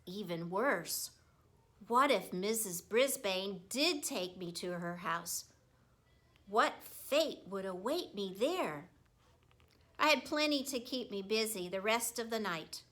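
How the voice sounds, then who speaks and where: A woman narrating a children's storybook like a schoolteacher, expressive and deliberate. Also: medium pitch. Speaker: teacher reading a story.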